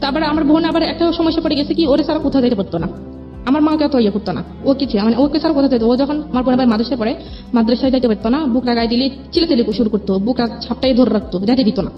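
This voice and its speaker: Young woman, quick rambling personal storyteller quoting conversations, dull lo-fi sound over music. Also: sits high. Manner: rambling, repeats herself, quotes conversations. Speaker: guest telling own life story. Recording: dull lo-fi audio with music behind.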